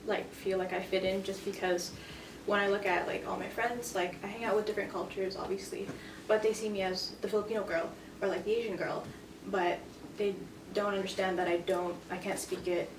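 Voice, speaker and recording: A woman's voice: mid-range voice, flat delivery, fairly quick. A young woman in a casual group discussion, talking hesitantly with many fillers. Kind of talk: casual chat. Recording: roomy, from a distance, faint noise.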